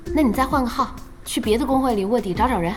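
An actress playing a spirited, outspoken young female boss in a radio drama, with a brisk and expressive manner. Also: a little high, over music, natural voice. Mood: curious. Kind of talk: Chinese radio drama acting.

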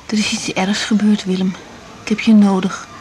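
A woman's voice: mid-range voice, flat delivery, normal speed, darkish tone, natural voice, poor audio. An actress playing a caring but easily indignant young woman doctor in a naturalistic radio drama, speaking with hesitant, broken phrases. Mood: shaken, anxious. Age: young adult.

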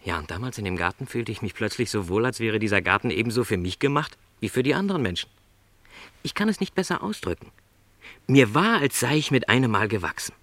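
A man's voice: lowish voice, expressive, fast, a bit bright, dry recording. An actor playing a lonely, dreamy middle-aged travelling salesman in a radio drama, speaking in reflective, rhapsodic literary phrases. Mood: elated, rapt.

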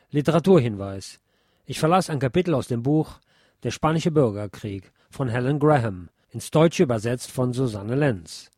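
A man's voice: medium pitch, darkish tone, no room sound, clean studio sound. A man reading a history book aloud as scripted narration for a podcast. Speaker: podcast host and reader.

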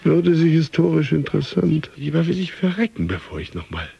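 An actor playing a disgruntled, neurotic office worker who broods and mutters in a radio drama monologue. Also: male voice, on the high side, sing-song, a little fast, creaky, warm tone, slightly rough, heavily acted. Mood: bitter, stubborn. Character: disgruntled male office worker, neurotic, grumbling about body and cake. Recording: dull studio sound, faint music behind.

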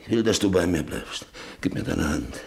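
A man's voice: sits low, very animated, normal speed, creaky, heavily acted. A man acting a troubled, domineering rancher and father in a German radio drama, curt and insistent. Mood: remorseful, shaken.

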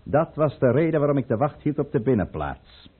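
An actor playing a proud, resolute conspirator count in a radio drama, with grave, commanding, theatrical delivery. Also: male voice, medium pitch, sing-song, fairly quick, husky. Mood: commanding, brooding. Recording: muffled, narrow-band old radio play recording.

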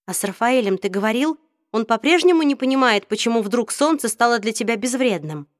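A woman reading an audiobook, voicing a lively, easily worried teenage girl with quick, raised reactions. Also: fairly high pitch, dry recording, clean studio sound, natural voice. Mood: light.

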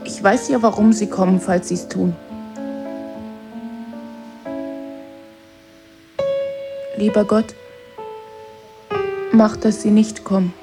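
A woman's voice: medium pitch, fairly even intonation, unhurried, natural voice. A woman playing a lonely, pensive schoolgirl who tells herself stories in a radio play. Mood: wistful, uneasy. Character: lonely, wistful schoolgirl telling herself fairy tales. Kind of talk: storytelling inner monologue in radio play. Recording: loud piano music behind.

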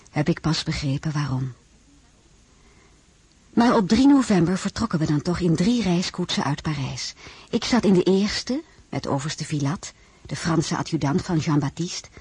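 A woman acting in a radio drama as a candid, wistful crown princess, with a husky voice and measured storytelling. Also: fairly low pitch, a little mellow, dry recording. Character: French-born crown princess, candid, wry, wistful narrator. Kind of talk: radio drama narration and dialogue.

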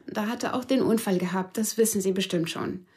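A grieving witness in a radio crime drama. Her voice is husky and sits at a middling pitch. She recalls her lost lover haltingly, searching for words. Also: a little mellow. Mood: reflective. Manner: halting, hesitant recollection. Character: grieving woman, a dead man's former lover, questioned as witness.

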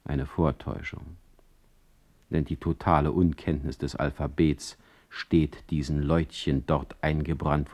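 A sardonic exiled poet of the 1800s with a very deep bass voice. He delivers his letters deliberately, with urbane irony and mock politeness, in a radio drama style. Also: a little mellow, heavily acted. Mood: mocking, scornful. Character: sardonic, witty male exiled 19th-century poet writing letters.

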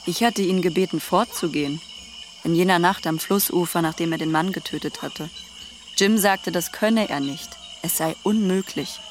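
A woman's voice: fairly low pitch, normal speed, natural voice. An actress playing an anguished, distrustful young woman in love, insistent and intense, in a radio drama. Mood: wary, doubting. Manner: insistent, questioning. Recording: faint background noise.

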